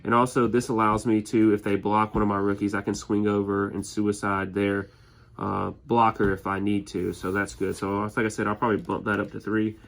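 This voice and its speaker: A low-pitched man with a sniffly head cold, talking in an even, flat tone. He explains his deck in an easygoing way, with frequent "uh"s and restarts, sounding relaxed and unhurried. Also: vocal fry. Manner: easygoing, many fillers and restarts. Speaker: tournament winner presenting his deck. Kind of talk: casual deck walkthrough.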